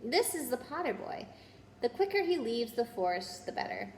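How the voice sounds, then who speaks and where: A woman's voice: on the high side, big pitch swings, normal speed. A woman voicing a noble, grave male centaur, solemn and formal, in a storybook reading. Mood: defiant. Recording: roomy echo with noise.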